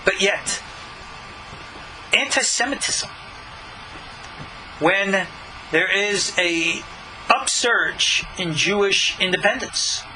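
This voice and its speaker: A man's voice: high voice, sharp tone, slight background noise, poor audio. A man, a rabbi, teaching scripture in an earnest, explanatory way, raising his voice on key points. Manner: earnest, explanatory, rising emphasis on key words. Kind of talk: recorded Bible study lesson.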